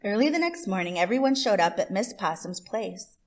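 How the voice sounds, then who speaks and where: A woman reading a picture book aloud to children as host, expressive, with deliberate emphasis on words. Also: medium pitch. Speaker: children's story reader and host.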